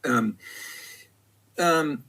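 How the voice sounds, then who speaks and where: A man, a poet whose first language is Italian, speaking reflectively in an interview with frequent pauses, fillers and repeated words. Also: a little high, sharp tone.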